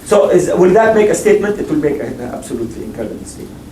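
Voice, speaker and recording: A man's voice: sits high, sing-song, racing, dark tone, far from the mic. A man on a panel talking about investing, emphatic and repetitive, often restarting his sentences. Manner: emphatic, repeats himself, frequent restarts. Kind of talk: panel discussion and audience Q&A. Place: lecture hall. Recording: echoey hall, mic from afar, faint noise.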